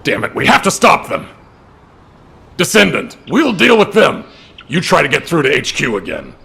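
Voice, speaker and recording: An actor playing a concerned, urgent soldier in a video game, with a rough gravelly voice. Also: male voice, a little high, huge pitch swings, moderate pace, sharp tone, a little hiss or hum, full character voice. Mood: alarmed. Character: concerned male soldier on war-front watch, urgent. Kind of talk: video game cutscene acting.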